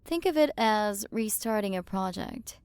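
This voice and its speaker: A woman playing a haughty, curious alien galaxy queen in an ASMR roleplay, soft and condescending, possessive of her human. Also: medium pitch, animated, measured pace, clear, resonant tone, dry recording, close to an everyday voice. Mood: curious, clinical. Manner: soft, condescending, teasing, at times whispered.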